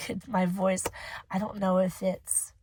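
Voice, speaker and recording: A young woman with a hoarse voice from a cold, rambling casually as she reviews a book for her channel. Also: mid-range voice, flat delivery, slow pace, no room sound. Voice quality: husky. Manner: chatty, rambling, emotional.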